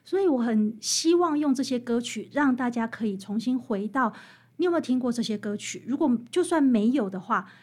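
Female guest author, high voice, Taiwanese Mandarin accent, animated and emphatic conversational explainer. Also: a little fast. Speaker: guest author, researcher of popular songs. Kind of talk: interview conversation, explanatory storytelling.

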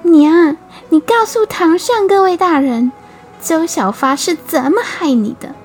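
Woman doing an earnest, high young heroine. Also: measured pace, darkish tone, faint music behind. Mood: pleading. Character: brave, devoted young woman.

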